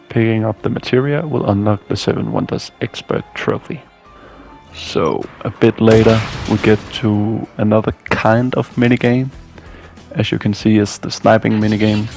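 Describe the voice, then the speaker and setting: A man's voice: fairly low pitch, vocal fry, a little mellow. A man narrating a scripted video game walkthrough as a guide.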